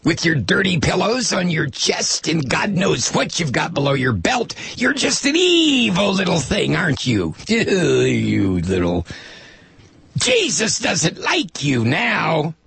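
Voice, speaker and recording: A man voicing a leering, sanctimonious male politician, with mocking, drawn-out, swooping taunts in a radio monologue. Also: a little high, huge pitch swings, gravelly, a bit bright, husky, no room sound, pitched above the performer's own voice, heavily acted. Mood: leering scorn.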